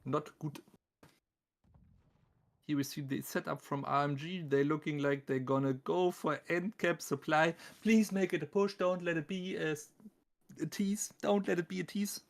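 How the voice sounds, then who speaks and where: A man with a thick German accent casts a live game tournament. His voice sits a little high for a man, with a gravelly fry in it. He comments casually, joking and poking fun at himself while addressing the viewers. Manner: self-deprecating, talks to chat and viewers.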